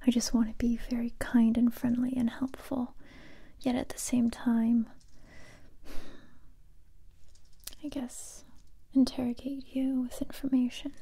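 Actress as young female survivor turned gentle, guarded interrogator. Breathy, even ASMR whisper, close to the mic. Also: a little high. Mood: conflicted, gentle. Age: young adult. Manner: slow, hesitant, intimate close-up delivery. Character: young woman survivor, kind but wary interrogator. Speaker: ASMR roleplay performer. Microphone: close mic, whispering near the listener.

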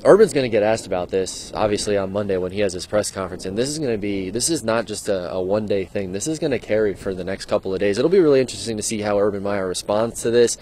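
A man in his thirties reports live from an outdoor football stadium at night, holding a handheld microphone. His voice sits low, and he asks his questions earnestly. Faint open-air noise carries behind him. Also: quick pace, hardly any pauses, a little mellow. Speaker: sports reporter. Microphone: handheld mic.

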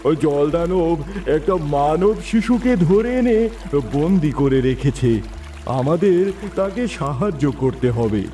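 A man's voice: fairly high pitch, expressive, a little slow, dark tone. A man voicing a kindly elder-brother turtle in a fairy-tale cartoon, speaking in a reassuring, coaxing manner. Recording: distant voice with music bed.

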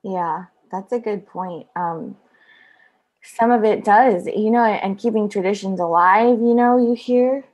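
Slow-talking woman co-hosting, easygoing, laughs a lot, mid voice. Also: medium pitch. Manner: relaxed, laughs often, thoughtful asides. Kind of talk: casual podcast conversation.

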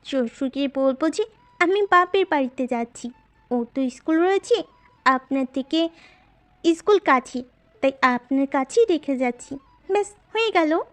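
A woman plays a scheming wife in a romantic audio drama. Her voice is high, flirtatious and coaxing, with an intimate manner, and faint music sits underneath. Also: expressive, normal speed. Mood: sly, affectionate. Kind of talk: audio love-story drama acting.

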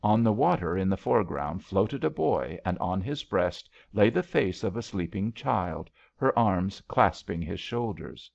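A low-voiced man reads a romantic story aloud like an audiobook narrator. His delivery is unhurried and very animated, with a gravelly creak, on a slightly dull recording. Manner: measured, dramatic storytelling. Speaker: audiobook reader.

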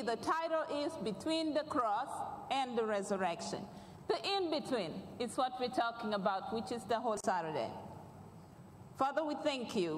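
A middle-aged Ugandan woman preaching from a church stage, her high voice carrying a fervent, repetitive prayer cadence. The sound rings with a long hall reverb, heard from a stage mic with faint room noise behind. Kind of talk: prayer and sermon.

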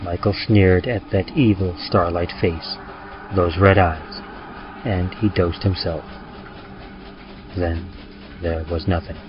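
A man's voice: sits low, fairly even intonation, slow pace, pauses often, background noise. A man reading a scripted horror story in a dramatic, old-time radio style. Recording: muffled recording with music. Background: music bed.

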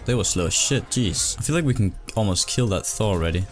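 A man's voice: fairly low pitch, animated, fast, faint music behind. A young man from Denmark giving casual, exasperated, sweary commentary while playing a video game. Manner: sweary, exasperated gamer talk. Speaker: gaming video host.

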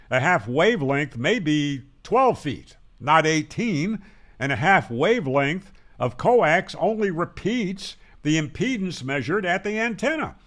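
Older male tutorial presenter, slightly high voice, very lively intonation, plain-spoken and emphatic, close-miked at home. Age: 60s or older. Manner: plain-spoken, emphatic, with pauses to stress key words. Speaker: presenter of a ham radio channel. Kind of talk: explanatory tutorial to camera, conversational. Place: small home room with guitars, indoors. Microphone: large broadcast microphone close up on a boom.

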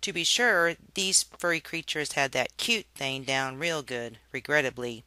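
A storybook reader giving an expressive read-aloud narration for children. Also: medium pitch, unhurried, clear, resonant tone, no room sound.

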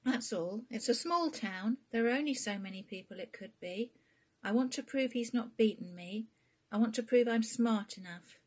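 Woman reading as earnest, husky, determined daughter. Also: on the high side, moderate pace, pitched above the performer's own voice. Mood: defiant, earnest. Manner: earnest, defiant. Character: determined, frightened young woman, a checkout worker.

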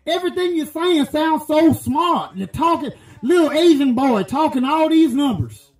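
A man playing a crude, loudmouthed rural American voter who rants profanely and rambles. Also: very high voice, animated, moderate pace, soft background music. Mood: ranting. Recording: echoey room.

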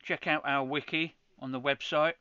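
A man's voice: a little high, fairly even intonation, normal speed, pushed and bright, clean tone, no room sound, lo-fi sound. A man from the UK hosting a ham radio channel, walking viewers through a setup step by step in a practical tutorial, with frequent restarts. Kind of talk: screen-recorded tutorial.

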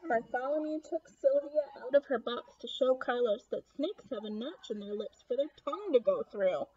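A young woman reading a picture book aloud to children in an animated, expressive way. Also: sits high, normal speed, slightly rough, muffled recording. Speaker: children's read-aloud host. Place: small room at home.

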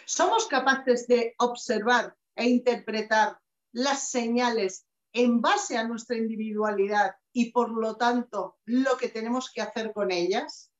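A middle-aged woman speaks slowly and emphatically in a slightly high voice. She gives an inspirational talk over a webcam, and the small office around her sounds echoey. The sound is dull and lo-fi. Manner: slow, measured and emphatic, with expressive hand gestures. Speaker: conference speaker. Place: small office with shelves, indoors. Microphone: webcam video call.

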